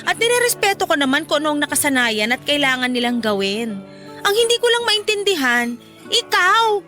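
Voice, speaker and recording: Bright, very high-pitched frank best friend. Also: female voice, normal speed, faint music behind, full character voice. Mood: disapproving. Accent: Filipino. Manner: blunt, questioning, expressive. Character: frank, teasing young female best friend, disapproving. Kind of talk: Filipino radio drama dialogue.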